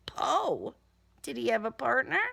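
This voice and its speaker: A woman narrates a suspenseful first-person thriller. Her voice is fairly high with a heavy creak. She goes slowly, breaking the story into short, deliberate phrases for drama. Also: expressive, fairly bright tone. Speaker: author reading own novel.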